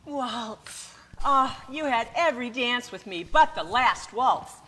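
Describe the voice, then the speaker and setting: A woman's voice: a little high, expressive, slow pace, pushed and bright, exaggerated voice. A woman playing a sensible, long-suffering wife in old-time radio comedy style, wry and folksy. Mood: nostalgic, teasing. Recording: faintly noisy live stage recording.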